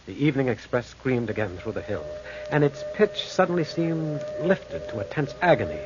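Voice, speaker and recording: A man's voice: mid-range voice, animated, normal speed, music in the background, close to an everyday voice. Dramatic, wide-ranging male actor as horror narrator. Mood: grave suspense. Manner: intense, theatrical, suspenseful. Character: earnest railway man recounting a supernatural horror. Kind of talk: 1940s-style dramatic radio narration. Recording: dull, faintly noisy old radio recording.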